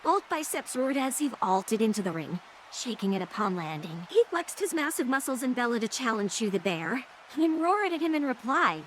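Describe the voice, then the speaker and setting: A woman's voice: fairly high pitch, very animated, moderate pace, fairly bright tone, slight background noise, low-quality recording. A woman's synthetic text-to-speech voice reading scripted third-person story narration.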